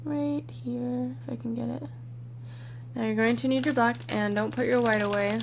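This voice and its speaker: A woman with a croaky, congested voice leads a step-by-step craft tutorial in a relaxed, chatty way, sometimes dropping to a near-whisper as she counts stitches. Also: a little high, moderate pace, noisy background. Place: indoors at a worktable, overhead camera on hands.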